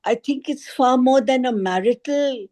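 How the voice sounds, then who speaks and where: A woman's voice: high-pitched, a little slow, warm tone. A woman in an informal group discussion on a video call, speaking hesitantly and searching for her words as a participant. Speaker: book club participant.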